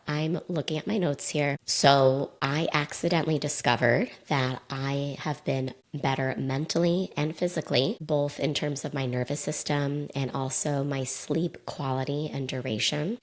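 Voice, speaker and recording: A woman in her thirties speaks in a low register with a slight rasp, a little hoarse from a sore throat. She talks as a podcast host on her own, in a candid, apologetic and conversational way. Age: 30s. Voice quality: slightly raspy. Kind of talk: casual solo podcast monologue.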